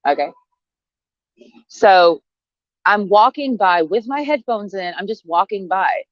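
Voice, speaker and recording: A woman's voice: medium pitch, expressive, slow pace, no room sound, lo-fi sound. A woman in her thirties vlogging in a brash, profane, sarcastic monologue, laughing often as she talks. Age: 30s. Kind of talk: casual monologue to camera.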